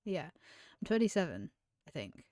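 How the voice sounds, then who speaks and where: A woman's voice: lowish voice, sing-song, unhurried, warm tone, dry recording, clean studio sound. A woman, chatting candidly to camera as a streamer, often trailing off and restarting. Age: 20s. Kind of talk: casual chat to camera.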